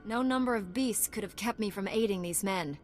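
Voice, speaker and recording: Woman voicing a noble lady knight in a video game, formal and solemn, medium pitch, light music behind. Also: brisk, close to an everyday voice. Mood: stern, resolute. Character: noble, resolute female Jedi knight.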